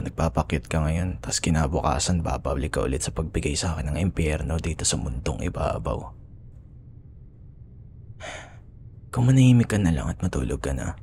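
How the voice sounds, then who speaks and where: Male actor as young Filipino school rival, grudging yet smitten; creaky, breathy near-whisper, intimate ASMR roleplay, faint background noise. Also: low-pitched, a little mellow. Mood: reluctant, grumbling. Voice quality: breathy, often dropping into whispers. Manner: teasing, grumbling, hesitant.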